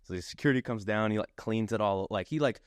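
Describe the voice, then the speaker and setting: A man's voice: fairly low pitch, fast talker, clear, resonant tone, no echo, studio quality. A man chatting and telling stories casually as a podcast co-host, full of slang and swearing. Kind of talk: casual chat and storytelling.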